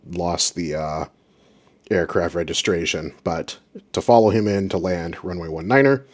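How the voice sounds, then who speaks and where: Guy w a lowish voice doing informal commentary, kinda fed up and sarcastic. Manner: exasperated, sarcastic ranting. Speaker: aviation audio reviewer. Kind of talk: informal commentary on radio recordings.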